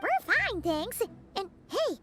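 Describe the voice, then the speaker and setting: A woman voicing a tiny, childlike, excitable fairy companion with a squeaky voice in an anime-style video game. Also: slow pace, heavy background noise, heavily acted. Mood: cheerful, excited.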